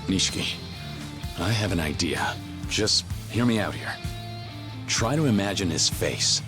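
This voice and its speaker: A stoic young yakuza brawler in a game dub, with a very deep, low-pitched voice. He speaks in dry, clipped, understated lines. Game music plays clearly behind him. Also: expressive, unhurried. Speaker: game character. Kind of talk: English video game dub. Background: game soundtrack music.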